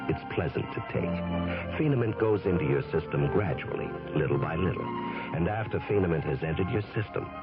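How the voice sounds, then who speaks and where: A man with a gravelly voice reading a scripted 1970s radio commercial. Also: low-pitched. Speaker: commercial announcer. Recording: dull old radio, loud music.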